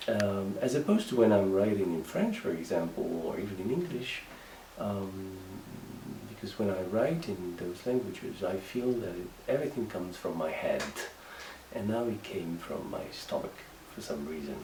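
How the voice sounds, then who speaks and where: A Moroccan man, a native speaker of Moroccan Arabic, talking in English with a Moroccan accent in a halting interview monologue, full of fillers and restarts. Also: deep voice, expressive. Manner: hesitant, many fillers and restarts. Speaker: writer. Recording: echoey room, mic at a distance, faint background noise.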